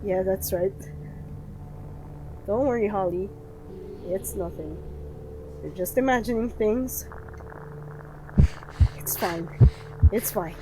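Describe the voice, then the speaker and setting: A woman voicing an anxious young female heroine who talks herself calm in a horror visual novel. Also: mid-range voice, slow, pauses often, noisy background, voice pushed down, lightly acted. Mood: pleading, self-soothing. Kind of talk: visual novel read-aloud acting.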